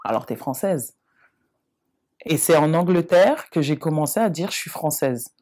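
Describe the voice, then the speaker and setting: A woman's voice: low voice, lively intonation, normal speed, dark tone. A woman from France speaking reflectively and earnestly in a casual interview, acting out remembered exchanges. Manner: reflective and earnest, mimics others in quoted exchanges. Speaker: documentary interviewee.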